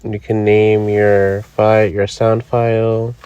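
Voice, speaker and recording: Man, lowish voice, casual review host, step-by-step, relaxed, dry close recording. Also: slow pace, smooth, dry recording, muffled recording. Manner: relaxed, explains step by step with fillers. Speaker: Halloween channel host. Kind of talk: casual product review and demo. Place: indoors, voice-over recorded apart from the footage.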